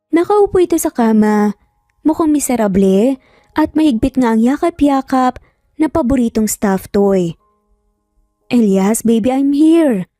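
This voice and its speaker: A woman's voice: a little high, dark tone, dry recording, studio quality. A woman giving a scripted, dramatic narration as a storyteller. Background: music.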